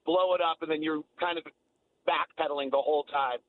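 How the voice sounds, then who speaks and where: A man's voice: a little high, steady tone, fairly quick, narrow, tinny audio. A man giving emphatic, opinionated basketball analysis in a sports radio conversation, repeating phrases to drive points home. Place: radio talk show.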